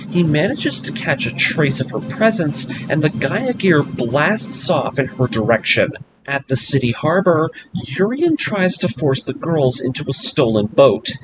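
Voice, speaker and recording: Guy narrating a story recap from a script, voice a bit high and really lively, music playing under him. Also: poor audio. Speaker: narrator of anime story breakdown series.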